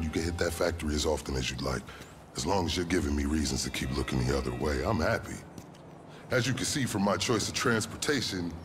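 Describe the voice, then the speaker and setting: Corrupt cop for a game cutscene, male actor: low, sly, measured and smug, vehicle noise behind. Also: lightly acted. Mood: pleased, boastful. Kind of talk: video game cutscene acting. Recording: game audio with clear car noise behind.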